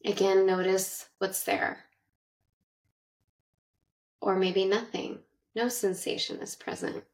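A woman guiding a meditation with gentle, measured instructions and long pauses, speaking in narration style. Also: mid-range voice, fairly even intonation. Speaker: meditation guide. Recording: roomy echo, distant from mic.

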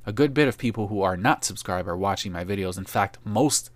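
Low-voiced reflective young man. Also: fairly quick, no room sound. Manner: reflective, self-questioning, told his voice is monotone. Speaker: podcast host and small YouTuber. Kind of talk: solo podcast monologue.

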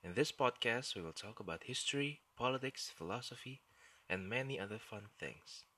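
A man's voice: medium pitch, lively intonation, moderate pace, bright, forceful tone, husky, dry recording. A man with an Indonesian accent, whose first language is Indonesian, hosting a solo history podcast in an earnest, explanatory way. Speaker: podcast host.

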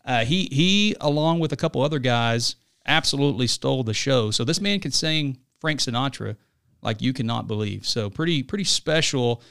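A man's voice: medium pitch, animated, normal speed, gravelly, a bit bright, clean tone. A man in his forties hosting a podcast, talking in an upbeat, chummy way and often tossing in "right?". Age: 40s. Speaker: podcast host. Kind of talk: casual chat and ad read. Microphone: studio podcast mic.

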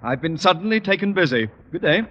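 An actor playing a pompous middle-aged school principal in a vintage radio comedy, blustering and stammering when flustered, then fawning. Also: male voice, fairly high pitch, animated, quick pace, highs cut off, natural voice. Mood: hasty, evasive. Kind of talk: 1950s radio situation comedy acting. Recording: old radio recording, noisy studio audience.

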